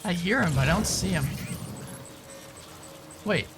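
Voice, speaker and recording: A man giving casual live gameplay commentary and chatting informally with viewers, halting and repeating himself at times. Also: high voice, animated, over music. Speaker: game streamer.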